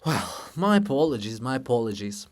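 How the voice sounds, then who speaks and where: A man voicing a dignified, respectful male monument of a scholar in a formal, measured manner for a play reading. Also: mid-range voice, sing-song, lightly acted. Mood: placating.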